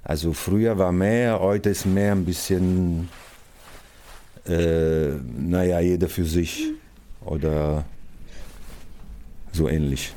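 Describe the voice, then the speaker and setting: A man in his sixties from Naples with an Italian accent, giving halting, thoughtful interview answers as an artistic director. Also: low-pitched, steady tone, dark tone. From Naples, Italy. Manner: halting, searching for words, frequent pauses.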